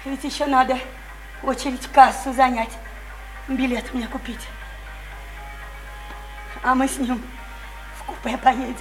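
A woman's voice: high-pitched, fairly even intonation, measured pace, long pauses. An actress playing a distraught, feverishly eager young provincial woman in a Russian radio play, with an emotional, pleading delivery. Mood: hurried, wistful. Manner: emotional, pleading, swinging from chatter to wailing. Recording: roomy radio play recording, faint music.

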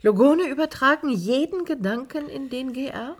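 An actress playing a curious, probing interviewer in a radio drama. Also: female voice, sits high, expressive, normal speed.

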